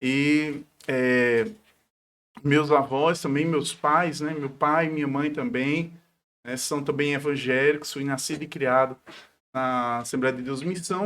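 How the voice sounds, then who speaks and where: A man's voice: a little high, fairly bright tone. A man of about forty, a pastor speaking devoutly as an interview guest, often adding short tag questions. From Paraíba, northeastern Brazil. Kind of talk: devout personal testimony in a conversational interview.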